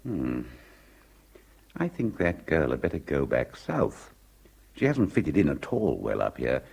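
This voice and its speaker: An actor playing a controlling English husband in a radio drama, clipped and commanding. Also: male voice, a bass voice, wildly swooping pitch, unhurried, gravelly, darkish tone, breathy edge, exaggerated voice. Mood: cold, commanding. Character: controlling older English husband, smooth host.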